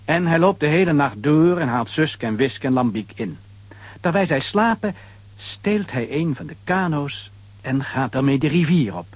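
A man with a husky voice narrating a children's audio adventure as a storyteller. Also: on the high side, expressive, moderate pace, no room sound.

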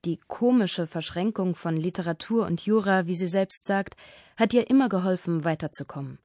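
Low-voiced woman narrating, close and dry. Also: moderate pace, dark tone, dry recording. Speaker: radio feature narrator. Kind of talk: scripted narration.

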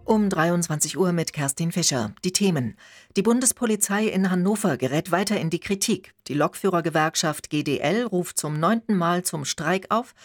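Low-voiced German woman reading news. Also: expressive, moderate pace, no room sound, clean studio sound, faint music behind. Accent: standard German. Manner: formal, factual delivery. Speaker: radio news anchor.

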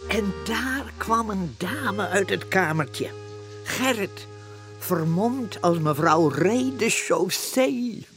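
A woman's voice: mid-range voice, animated, slow, music in the background. A woman acting as the storyteller of a radio comedy, narrating events as she recalls them. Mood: confiding. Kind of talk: scripted narration.

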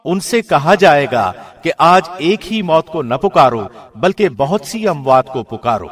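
A man reading a scripture translation as a scripted narrator, in a formal, measured radio presenter's manner. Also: a little high, animated, fairly bright tone.

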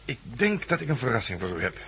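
An actor playing a self-assured, dryly amused police inspector in a Dutch radio play, knowing and teasing. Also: male voice, mid-range voice, very animated, fast talker, gravelly, pushed and bright, slight background noise, exaggerated voice. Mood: businesslike.

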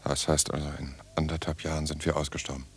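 A man's voice: a bass voice, level tone, fast talker, a little hiss or hum. An actor playing a wary, terse male prisoner in radio play dialogue. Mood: subdued.

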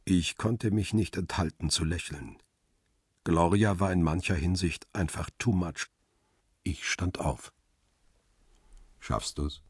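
A brooding middle-aged photographer tells his own story in a very low, husky voice. He is dry and matter-of-fact, in the manner of a crime radio play, recorded close and dry. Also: slow pace, long pauses, no echo, exaggerated voice. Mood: reflective, dry. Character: brooding middle-aged male paparazzo out for revenge. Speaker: narrator and lead actor. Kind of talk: radio drama narration and dialogue.

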